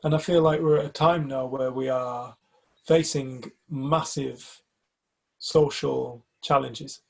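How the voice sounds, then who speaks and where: A man's voice: mid-range voice, fairly even intonation, takes it slowly, creaky. A man from the UK in a casual group discussion, talking reflectively and hesitantly, often restarting his sentences. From United Kingdom. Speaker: community group member.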